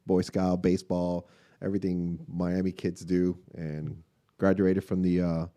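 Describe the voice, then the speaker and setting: A man from Cuba in his late forties, a restaurant owner telling his story as a guest, relaxed and hesitant with many fillers. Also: deep voice, a little slow. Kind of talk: interview answers, personal story. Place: podcast room in a restaurant.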